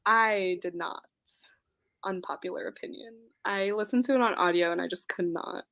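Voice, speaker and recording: A woman's voice: medium pitch, moderate pace, vocal fry, a bit bright. A young woman hosting a casual live-stream book chat, giggly and scattered, reading viewer comments aloud. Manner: giggly, scattered, laughs often.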